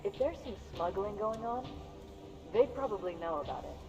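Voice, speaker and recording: Cheeky, high-voiced bartender. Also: male voice, lively intonation, normal speed, over music. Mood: informative. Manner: casual, teasing, colloquial. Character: chatty, cheeky small-time bartender in a rough settlement. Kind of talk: video game cutscene acting. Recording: muffled, long echoing reverb.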